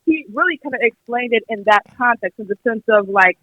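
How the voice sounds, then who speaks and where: A woman's voice: a little high, animated, brisk, no echo, phone-quality sound. A young American woman calling in to a podcast, arguing her opinion conversationally and emphatically, often acting out what others would say. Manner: emphatic, acts out imagined quotes. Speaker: caller on a podcast.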